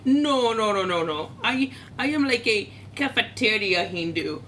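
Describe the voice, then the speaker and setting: A woman's voice: medium pitch, lively intonation, unhurried, fairly bright tone, a little hiss or hum. A woman voicing a chatty Indian-American woman from New Jersey, speaking in an Indian accent with broken-English phrasing. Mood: giddy. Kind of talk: improv character interview.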